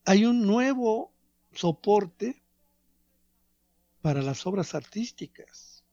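A man giving a reflective radio talk on art, measured and professorial, pausing between phrases. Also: high voice, expressive, dark tone, dry recording, muffled recording. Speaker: radio commentator.

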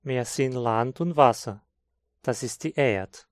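Male course reader, Hunsrik-accented German, unhurried and clear, dry studio sound. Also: mid-range voice, no room sound. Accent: Brazilian Hunsrik German. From southern Brazil. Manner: slow, clear teaching delivery. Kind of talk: scripted textbook dialogue.

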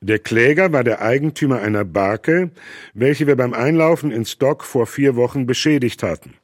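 A man's voice: medium pitch, moderate pace, no room sound, studio quality, natural voice. A man reading as a Victorian cable engineer. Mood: matter-of-fact. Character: 19th-century cable engineer writing reports. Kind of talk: reading historical letters in a radio feature.

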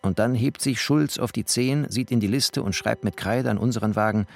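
A male narrator with a fairly low voice delivers literary prose in a dramatic style. His reading is expressive and sardonic, with faint music behind it. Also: brisk, vocal fry.

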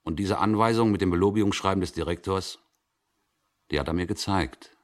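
A man giving a measured, suspenseful dramatic reading of a story in a 1960s radio production. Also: low-pitched.

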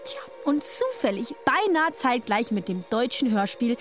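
Bubbly teasing podcast girl, kinda high voice, music underneath. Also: very animated, unhurried. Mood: admiring. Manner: playful, exclaiming, youthful slang. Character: chirpy, teasing female podcast co-host. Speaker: podcast co-host. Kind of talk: scripted banter played as casual podcast chat.

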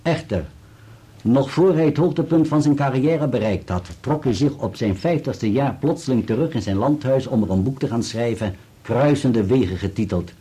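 An actor playing a precise, formal solicitor in a radio play, with an articulate delivery. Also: male voice, mid-range voice, warm tone, slightly rough. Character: dutiful male solicitor reading an article aloud, precise and formal.

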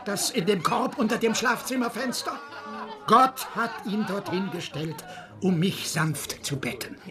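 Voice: mid-range voice, expressive, a little slow, lightly acted. An actor playing a sanctimonious, eccentric male preacher in a radio drama, declaiming in a preachy, theatrical way. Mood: pious. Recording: music under the voice.

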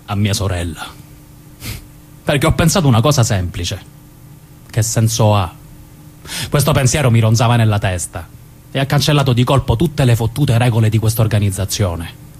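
An actor playing a bitter, defiant, reflective dead mob killer, in a theatrical dramatic reading. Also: male voice, on the low side, animated, moderate pace, pauses often, darkish tone, a little hiss or hum, close to an everyday voice.

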